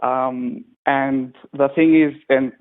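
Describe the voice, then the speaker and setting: Man, hesitant guest, restarts often, mid pitch, thin phone-line sound. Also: fairly even intonation, slow. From North Macedonia. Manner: hesitant, searching for words with many restarts. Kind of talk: casual interview over a call. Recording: tinny phone-like call line.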